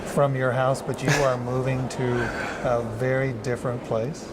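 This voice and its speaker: A man's voice: mid-range voice, monotone, moderate pace, over loud music. A man hosting a television interview, asking friendly, conversational questions. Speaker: talk show host.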